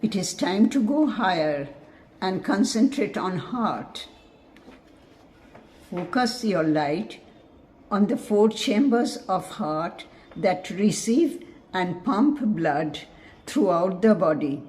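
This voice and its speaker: A woman leading a scripted guided meditation in a measured, soothing, instructive manner. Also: mid-range voice, animated, darkish tone.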